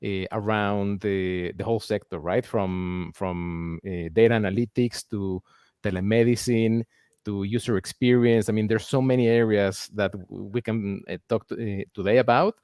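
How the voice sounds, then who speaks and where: A man's voice: fairly low pitch, steady tone, normal speed, darkish tone, clean tone, no room sound. Male host, close dry sound. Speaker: podcast host. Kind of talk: conversational interview.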